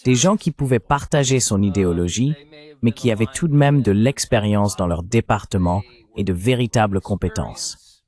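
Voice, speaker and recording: A man's voice: on the low side, normal speed, darkish tone. A man's AI dubbing voice giving a psychologist's answers in an interview, as a translated voice-over.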